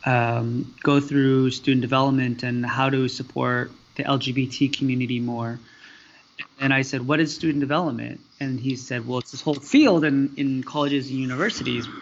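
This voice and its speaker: A man from the US answering interview questions in a halting, storytelling way, with many fillers. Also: mid-range voice, steady tone. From United States. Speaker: guest, student affairs professional. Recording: dull lo-fi audio with faint noise.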